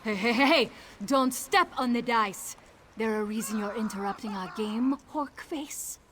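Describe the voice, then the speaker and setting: A gruff female tavern gambler in a fantasy game. Her slightly high voice is curt and irritable, over faint background noise. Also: measured pace, a bit bright. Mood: irritated. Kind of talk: video game character dialogue.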